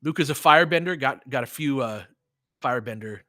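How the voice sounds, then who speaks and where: American male fan-stream sports host, bright forward voice, very dry sound, informal and opinionated play-by-play. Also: mid-range voice, a little fast, dry recording. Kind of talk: basketball play-by-play mixed with casual fan chat.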